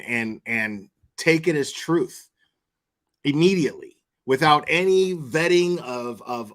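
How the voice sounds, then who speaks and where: Slow, halting male commentator. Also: fairly high pitch. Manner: halting, repeats words for emphasis; emphatic, checks with "okay?" and "right?". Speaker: commentary vlogger. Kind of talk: opinionated off-the-cuff true crime commentary.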